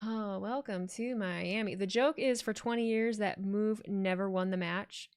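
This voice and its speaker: A woman's voice: medium pitch, a little slow, a little mellow, clean tone. A young woman, a streamer, chatting casually and jokingly while she reads her chat aloud during a watch-along.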